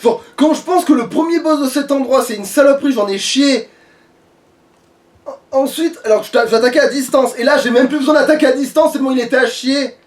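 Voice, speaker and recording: High-pitched man grumbling through a game. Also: fairly quick. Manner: sweary, grumbling. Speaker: let's play gamer. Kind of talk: casual gameplay commentary. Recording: distant mic with faint noise.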